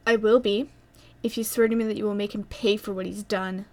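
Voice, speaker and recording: A woman's voice: medium pitch, steady tone, a little fast, darkish tone, close to an everyday voice. A woman playing a troubled, tender teenage girl in an amateur audio drama. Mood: resolute.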